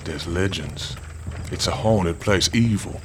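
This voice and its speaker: Radio drama role: superstitious Southern country lawman, lowish voice, spinning eerie legends, faint background noise. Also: slow. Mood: ominous. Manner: folksy, ominous storytelling.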